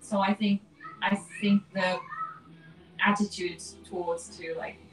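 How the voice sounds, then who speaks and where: A woman's voice: mid-range voice, flat delivery, takes it slowly. A woman in her twenties from Japan, Japanese as her first language, chatting easily as a guest and laughing often between phrases. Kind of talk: casual interview chat. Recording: voice a bit far from the mic.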